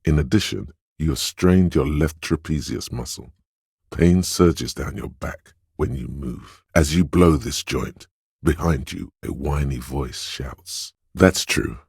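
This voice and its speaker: A man voicing a suave, wry inner voice of a detective in a video game, narrating in a measured, knowing way. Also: rumbling low, a little mellow, breathy edge, dry recording, clean studio sound, exaggerated voice. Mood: sly, urging. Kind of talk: second-person game narration.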